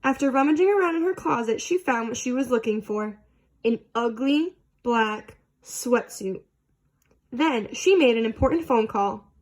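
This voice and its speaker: A woman's voice: fairly high pitch, expressive, unhurried, low-quality recording. A woman reading a children's storybook aloud as a narrator.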